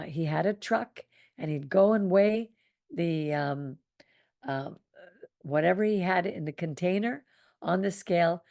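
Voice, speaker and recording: Soothing low-voiced woman teaching scripture. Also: a little mellow, no room sound, studio quality. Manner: soothing, unhurried teaching with small laughs. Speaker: Torah study host. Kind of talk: reading and commenting on scripture.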